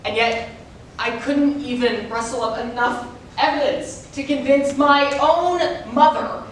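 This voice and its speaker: A woman's voice: high voice, fairly even intonation, a little slow. A young woman giving an emphatic, theatrical scripted performance in a reader's theater piece. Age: young adult. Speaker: student forensics competitor. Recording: echoey room from far off, loud noise.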